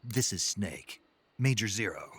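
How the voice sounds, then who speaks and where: Gruff male covert operative: terse, intense, stubborn, lively pitch swings, faint ambient noise, game cutscene. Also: measured pace. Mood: urgent. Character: gruff male secret agent, stubborn, wounded by betrayal. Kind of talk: dramatic video game cutscene acting.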